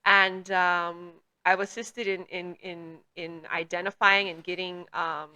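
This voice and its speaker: A woman's voice: lowish voice, level tone, measured pace, pushed and bright, clean tone. A woman whose first language is Portuguese, hosting a casual talk and reflectively telling a personal story in English. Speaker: podcast host; works with children.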